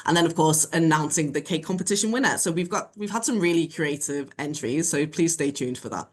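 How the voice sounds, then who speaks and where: A woman with a low voice chairs an online seminar session, working through a prepared introduction. She sounds formal and thankful, and she speaks over a video call connection. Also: fairly quick. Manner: warm, grateful and formal.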